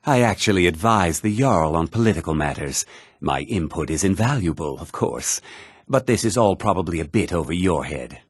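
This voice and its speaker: A self-important townsman from a fantasy video game. His husky voice swings widely in pitch and is recorded close and dry. He talks with theatrical, smug condescension. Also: animated, normal speed, dry recording. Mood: smug, condescending. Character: haughty, self-important male townsman and merchant of a fantasy world. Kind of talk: video game character dialogue.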